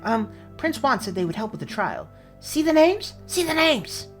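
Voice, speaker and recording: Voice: sits high, very animated, normal speed, over music, pitched above the performer's own voice. A performer voicing a flustered mouse messenger in a game, hesitant and pleading, repeating words nervously.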